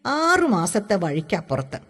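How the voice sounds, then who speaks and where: A woman's voice: medium pitch, very animated, a little slow, husky. Lady reading as a cheeky bragging village boy in a storybook, drawn out and singsong, echoey reverb. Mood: dreamy, defiant. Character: boastful, teasing village boy dreaming of far travel. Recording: long hall-like reverb.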